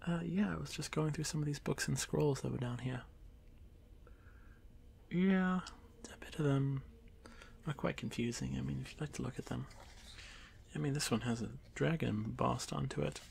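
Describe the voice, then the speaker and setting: A man playing a shy, nervous young male drider in an ASMR fantasy roleplay, hesitant, stammering and soft-spoken, apologising and often slipping toward a whisper. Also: medium pitch, moderate pace, slight background noise. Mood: nervous, apologetic. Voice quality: gravelly, creaky.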